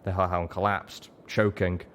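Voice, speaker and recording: A man's voice: sits low, flat delivery, moderate pace, fairly bright tone, slight background noise. A man reading a story aloud as scripted, dramatic narration. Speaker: audiobook narrator.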